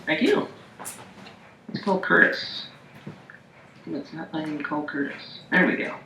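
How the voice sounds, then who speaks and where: A young man lining up callers for a show, chatty, filler-heavy, and quick to laugh. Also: a little high, vocal fry, sharp tone. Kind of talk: casual chat. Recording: echoey room with faint noise.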